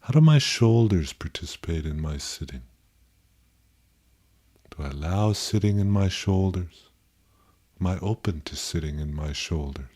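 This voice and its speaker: A man with a deep, dark and relaxed voice guides a meditation. He speaks gently and contemplatively, posing questions to himself and leaving pauses between phrases.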